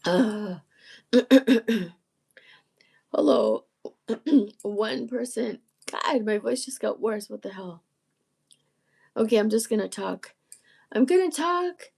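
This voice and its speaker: Host, woman, husky and croaky after vocal strain; slow rambling live-stream chat, voice breaking into fry. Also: fairly high pitch, animated, a little mellow. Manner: rambling, self-interrupting, frequent throat clearing. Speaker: musician and channel host.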